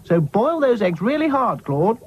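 A man as a bossy, high-pitched girl rag doll. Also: sing-song, slight background noise, full character voice. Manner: brisk, commanding, scolding. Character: bossy, snappy female rag doll leader. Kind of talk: children's cartoon storytelling. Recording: dull lo-fi audio with music.

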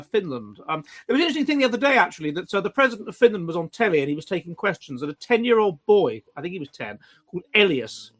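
A man hosting an online pub chat show, reading out listener questions with halting restarts and dry jokes. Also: sits high, a bit bright. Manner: wry, joking.

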